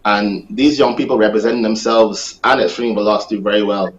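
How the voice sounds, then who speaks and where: Low-voiced male coach, slightly dull sound. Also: steady tone, fairly quick. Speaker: athletics coach. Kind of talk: interview answers.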